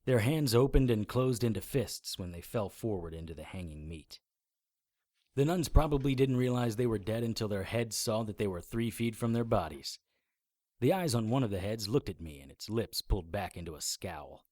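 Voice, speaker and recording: An actor playing a grim, deadpan occult investigator who narrates his own adventure in a noir audio drama. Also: lowish voice, normal speed, no echo, studio quality. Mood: grim, tense.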